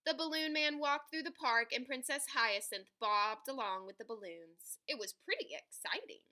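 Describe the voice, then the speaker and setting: A woman reading a children's picture book aloud in an animated, dramatic way, stretching key words for emphasis. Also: sits high, moderate pace. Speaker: read-aloud storyteller.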